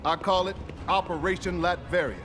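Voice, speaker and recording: High-pitched commanding spy chief played by a man. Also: brisk, close to an everyday voice. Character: commanding male spy agency director, brisk, dry. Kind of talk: video game cutscene acting. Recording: music behind the voice.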